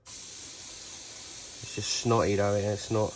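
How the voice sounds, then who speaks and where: A man's voice: fairly low pitch, flat delivery, takes it slowly, a little hiss or hum. A man with a stuffy nose from a cold, casually rambling through a how-to tutorial and swearing now and then. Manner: rambling, swears casually, corrects himself mid-sentence. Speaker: sneaker customizer.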